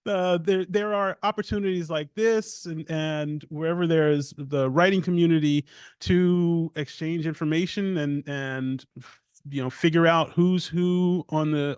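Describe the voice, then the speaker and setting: Hesitant man with a smooth voice. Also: a little high, steady tone, normal speed, a little mellow, no echo. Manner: hesitant, many ums and restarts. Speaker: poet on a reading panel. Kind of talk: reflective panel answer. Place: live reading event before an audience.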